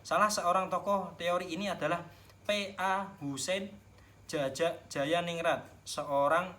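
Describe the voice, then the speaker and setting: A man's voice: high-pitched, fairly even intonation, a little slow, sharp tone. A young man with a Javanese accent, speaking as a student teacher giving classroom instruction.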